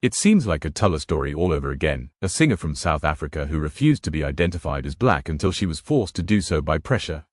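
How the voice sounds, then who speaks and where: Very deep, roomy male narrator. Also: clean studio sound. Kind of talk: scripted commentary narration.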